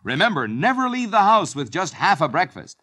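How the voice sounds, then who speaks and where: A man's voice: sits high, very animated, brisk, pushed and bright, husky. A man reading a 1950s radio commercial in an upbeat, persuasive way. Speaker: commercial announcer.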